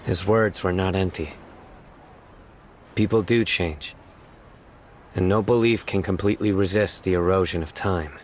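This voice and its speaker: An actor voicing a stern, reserved young lawman in a video game, speaking in a solemn, measured way. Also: low-pitched, slight background noise. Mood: earnest, reflective. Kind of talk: scripted video game character dialogue. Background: music.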